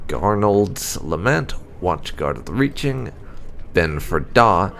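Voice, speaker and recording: A man reading fantasy game lore aloud in a measured, theatrical way with pauses. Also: lowish voice, vocal fry, slight background noise. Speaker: gameplay channel host.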